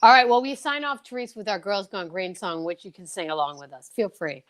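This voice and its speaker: A woman's voice: medium pitch, fairly quick, crowd noise. A woman hosting a casual online chat show, teasing her companions and making showy announcer-like asides to the audience. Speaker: host.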